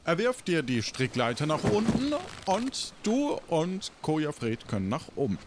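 Halting male game master, faint noise. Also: a little high, huge pitch swings. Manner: halting, casual. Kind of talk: improvised adventure narration.

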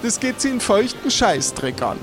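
A man voicing a haughty, pompous king who addresses common folk in a drawn-out, regal way, in an improvised adventure audio play. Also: extremely high pitch, sing-song, soft background music. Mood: condescending. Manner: pompous, drawn-out royal address in third person.

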